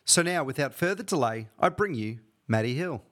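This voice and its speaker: A male podcast host with a mid-pitched voice reads his show's intro and then moves into easy conversation. He keeps his questions short and friendly. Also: animated, moderate pace, a bit bright. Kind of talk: scripted intro then casual interview.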